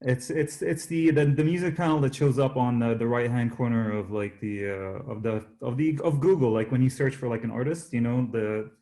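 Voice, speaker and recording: A man with a mid-pitched voice answering interview questions as a musician guest. He talks casually and hesitantly, often repeating words as he finds his way. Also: moderate pace, hardly any pauses, warm tone. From Jordanian-born, Canada. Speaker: singer-songwriter guest.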